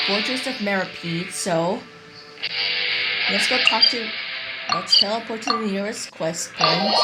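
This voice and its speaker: Halting woman gamer over loud music. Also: medium pitch, poor audio. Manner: halting, repeats and rephrases herself. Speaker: gaming video host. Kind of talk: casual gameplay commentary. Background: video game music.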